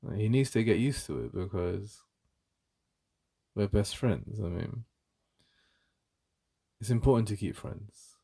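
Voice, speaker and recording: A man with an English accent playing a jealous, protective best friend in an intimate ASMR roleplay, speaking in a halting, conversational way with emphatic repetition. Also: lively intonation, gravelly, warm tone, slightly rough, exaggerated voice. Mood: defensive. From England. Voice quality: deep.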